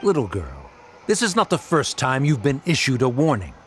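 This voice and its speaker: A man's voice: mid-range voice, huge pitch swings, moderate pace, fairly bright tone, faint music behind. An actor playing a condescending, officious male official in a video game, cold and pompous. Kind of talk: game voice acting.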